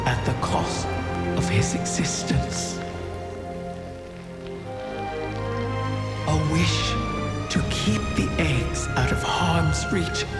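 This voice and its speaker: Ancient cunning dragon, gravelly, solemn game monologue, cavernous reverb, loud music. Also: male voice, fairly high pitch. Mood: solemn, wistful. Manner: slow, deliberate, solemn storytelling. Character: ancient cunning wish-granting dragon speaking in whispery, mournful riddles. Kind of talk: dramatic scripted game monologue. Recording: echoing hall reverb, loud music behind.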